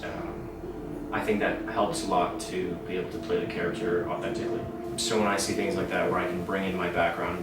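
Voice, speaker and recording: A man, an actor, calmly explaining his character, pausing with uh's. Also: deep voice, far from the mic. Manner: calm, hesitant with uh's. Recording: echoey room from afar, loud music behind.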